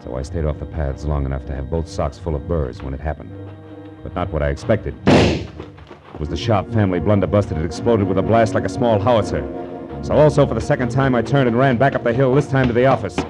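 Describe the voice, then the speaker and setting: An actor with an American accent playing a tough, sardonic private detective in an old-time radio drama, wry and clipped. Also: male voice, sits low, fairly quick, over music, lightly acted. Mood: wry, tense narration. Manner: wry, hard-boiled, clipped.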